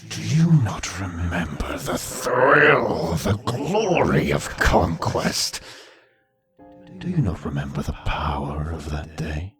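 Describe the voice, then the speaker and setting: Brief: male actor as ancient scheming entity, low and very slow, swinging melodically, urging conquest in a fantasy audiobook. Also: big pitch swings, music in the background, heavily acted. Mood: tempting. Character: ancient scheming power-hungry entity inside a man's mind.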